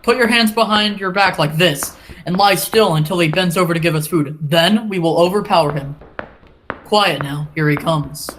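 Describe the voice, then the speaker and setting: A man's voice: high-pitched, normal speed, a bit bright, a little hiss or hum, rough recording. A young man playing a brave athletic American hero in an old-time radio serial. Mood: determined. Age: teens to young adult.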